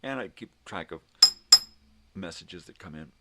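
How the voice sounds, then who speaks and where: An older man hosting a casual, rambling livestream, thinking out loud in a folksy way. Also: sits low, expressive, unhurried, very noisy. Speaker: host of a daily livestream show.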